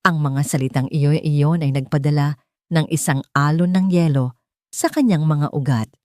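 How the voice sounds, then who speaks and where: Low-voiced Filipino woman narrating a story. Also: moderate pace, dark tone, no room sound, studio quality. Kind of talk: scripted dramatic story narration.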